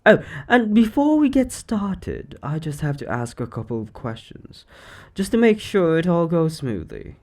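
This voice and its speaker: A man playing an eccentric, secretly sinister occult shop owner in a roleplay monologue, chatty and offhand with theatrical, drawn-out words. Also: on the high side, very animated, a little mellow. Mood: businesslike, playful. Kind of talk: scripted roleplay monologue to the listener.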